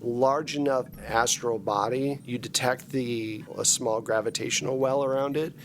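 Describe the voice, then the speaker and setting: A man's voice: medium pitch, fairly even intonation, normal speed. Male tabletop game master, mid-range voice, conversational narration, some noise behind him. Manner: casual, conversational.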